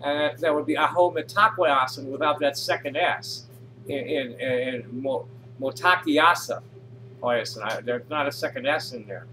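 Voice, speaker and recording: A man's voice: mid-range voice, moderate pace, sharp tone, a little hiss or hum, poor audio. A man casually reading posts aloud and commenting on them, rambling with frequent restarts and fillers. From North America. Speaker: reader of social media posts.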